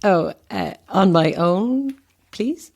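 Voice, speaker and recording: Casting: wary actress, medium pitch, expressive range, courteous with a dry edge, horror audio drama. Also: female voice, slow pace, vocal fry, exaggerated voice. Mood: dry, sceptical. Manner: polite, dry, questioning. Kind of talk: naturalistic audio drama acting.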